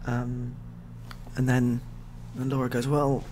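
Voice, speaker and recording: Softly spoken rambling man, noisy recording. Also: mid-range voice, normal speed. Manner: rambling, repetitive, deadpan dark humour. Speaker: ASMR storyteller. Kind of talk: softly spoken improvised storytelling, ASMR. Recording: clearly audible background noise.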